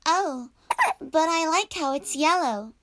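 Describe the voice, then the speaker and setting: A woman voicing a sweet, curious little girl in a children's puppet show, gentle and a bit hesitant. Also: sits high, lively intonation, takes it slowly, exaggerated voice. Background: children's music.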